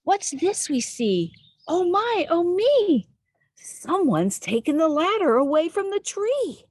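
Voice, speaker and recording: A woman reading a picture book aloud to young children outdoors, expressively, pausing to put questions to the listener. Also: very high voice, very animated, darkish tone, dry recording, studio quality. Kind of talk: animated read-aloud storytelling for young children. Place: outdoors in a backyard with trees.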